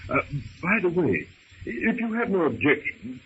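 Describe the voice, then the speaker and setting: An actor playing an earnest, courteous young gentleman castaway in an old-time radio drama, polite and thoughtful. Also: sits high, sing-song, unhurried, exaggerated voice. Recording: muffled, hissy old radio recording.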